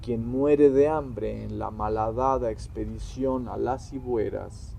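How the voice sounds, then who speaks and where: A man delivering scripted critical commentary like a lecture, deliberately and emphatically, pausing between short phrases. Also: mid-range voice, steady tone, gravelly, mellow, a little hiss or hum. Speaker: historian-critic presenting a critique.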